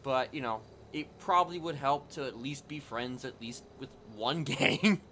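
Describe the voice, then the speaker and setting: A man's voice: medium pitch, normal speed, fairly bright tone, a little hiss or hum. A man talking casually and hesitantly on a roleplay livestream, often repeating himself. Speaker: streamer roleplaying.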